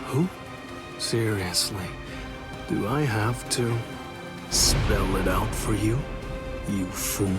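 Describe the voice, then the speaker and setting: An actor in an English anime game dub playing a cocky, teasing masked Soul Reaper with a sardonic, theatrical delivery. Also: male voice, on the low side, takes it slowly, pauses often. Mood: smug, taunting. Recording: music behind the voice.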